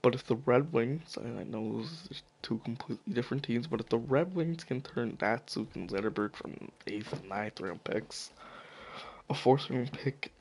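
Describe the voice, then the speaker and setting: A man giving casual, profane solo commentary while slowly losing his voice, stumbling over names as he goes. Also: mid-range voice, slightly rough. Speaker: hockey video commentator.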